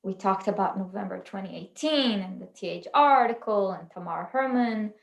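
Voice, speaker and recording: A woman hosting a relaxed fan livestream, talking in a loose, meandering way full of ums and restarts. Her voice sits in a middle register and comes through with a roomy, echoey sound around it. She keeps the tone conversational and unscripted. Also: mid-range voice, moderate pace, creaky. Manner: chatty, rambling with fillers and restarts. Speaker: channel host. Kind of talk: casual livestream chat.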